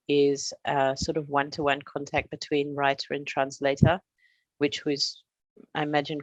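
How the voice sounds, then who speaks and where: A middle-aged woman from Australia, a translator, giving a prepared presentation in a measured, explanatory way with touches of humor. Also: sits low, dry recording, dull audio.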